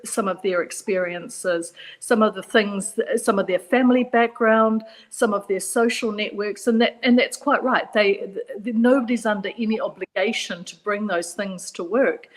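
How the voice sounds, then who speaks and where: New Zealand woman, measured panel guest. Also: mid-range voice, rough recording. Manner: measured, reflective, repeats points for emphasis. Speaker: guest psychologist. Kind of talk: radio panel discussion.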